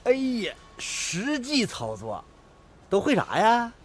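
A woman's voice: a little high, huge pitch swings, slow, husky, heavily acted. A woman playing a chatty, fussing female factory worker with a teasing manner in a radio drama.

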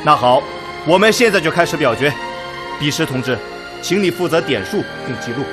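A man plays a decisive army commander in a radio drama, with a slightly high, very animated voice. He speaks in measured, rousing phrases as he chairs a council, with music clearly behind him. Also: lightly acted. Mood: resolute. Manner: measured, rallying speech-making. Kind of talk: Chinese radio drama acting.

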